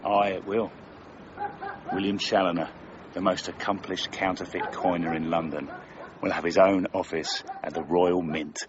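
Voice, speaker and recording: An actor playing a scheming, boastful master counterfeiter in a period radio drama, gloating and swaggering. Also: male voice, low voice, flat delivery, normal speed, highs cut off, noisy background, natural voice.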